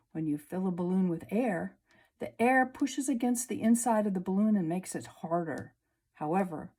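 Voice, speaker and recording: A woman teacher reading a children's science book aloud, slowly and clearly, at a table indoors. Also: mid-range voice, darkish tone. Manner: slow, clear storybook reading. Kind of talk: read-aloud lesson for children.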